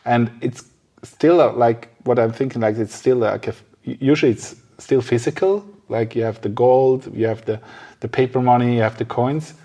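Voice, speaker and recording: Halting man hosting a casual podcast. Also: medium pitch, dark tone. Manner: halting, searching for words. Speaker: podcast host. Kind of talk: casual conversational interview.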